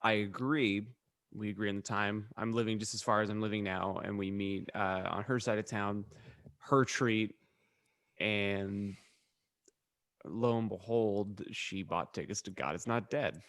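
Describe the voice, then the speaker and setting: A man's voice: fairly low pitch, fairly even intonation, measured pace, a bit bright, no room sound. A man co-hosting a podcast, telling rambling anecdotes in a casual, comedic chat with a wry manner and ready laugh. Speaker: podcast co-host.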